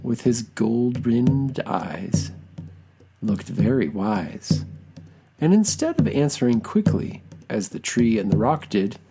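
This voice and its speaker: A storyteller giving an expressive, scripted reading of a children's folktale with dramatic, deliberate phrasing. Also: mid-range voice, creaky, soft background music.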